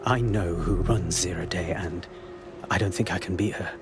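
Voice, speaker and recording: Man acting a deep-voiced, secretive intelligence agent. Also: moderate pace, close to an everyday voice. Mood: weary, anxious. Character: weary, secretive male intelligence agent with a scheming streak. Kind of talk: video game cutscene acting. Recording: loud music under the voice.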